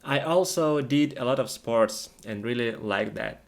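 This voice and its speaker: Man, mid-pitched, simply worded. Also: a little slow. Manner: short, simple, plainly worded sentences. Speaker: English lesson co-host. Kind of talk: conversational question-and-answer for learners.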